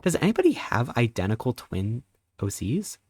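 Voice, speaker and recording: A man in his thirties, a live streamer chatting casually and greeting viewers, rambling playfully with sudden squeaky jumps in pitch. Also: wildly swooping pitch, moderate pace, a little mellow, breathy edge, no echo, studio quality. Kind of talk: casual live-stream chat reading chat messages.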